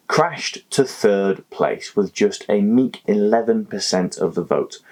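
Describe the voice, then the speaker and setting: A man hosting a political explainer video, talking emphatically with wry, jokey asides. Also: mid-range voice. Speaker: political commentary host.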